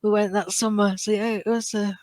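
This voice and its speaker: A British woman in casual fan chat as a podcast co-host, halting as she thinks aloud. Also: medium pitch, flat delivery, a little mellow, dry recording, studio quality.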